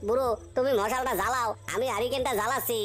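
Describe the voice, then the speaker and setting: A woman voicing an anxious, talkative village fisherman in a cartoon story, with a hurried, fussing manner. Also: fairly high pitch, fairly even intonation, soft background music, natural voice.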